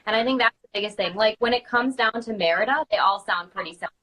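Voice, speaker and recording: A woman's voice: mid-range voice, fast talker, sharp tone. A woman in casual, chatty conversation as a guest on an online call, speaking agreeably. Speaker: guest, character party performer. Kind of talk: casual chat.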